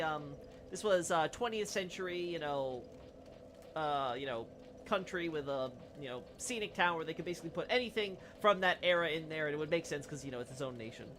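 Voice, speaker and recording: A rambling streamer talks through game lore as they play, leaning on "you know" and "right?" at every turn. The voice is bright and forceful, with a faint hiss underneath. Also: medium pitch. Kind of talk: casual game lore commentary while playing.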